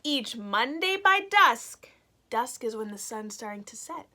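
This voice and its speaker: A woman with a high, bright voice reads a picture book to young children in the manner of a teacher. Her delivery is unhurried and expressive, and she stops to put words into simple terms. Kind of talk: children's read-aloud with simple explanations.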